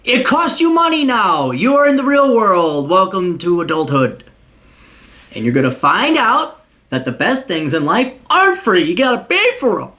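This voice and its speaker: A man's voice: high voice, sing-song, normal speed, bright, forceful tone. A man hosting a paid advice show, lecturing his listener in a blunt, profane, mocking way. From United States. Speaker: podcast host giving paid advice.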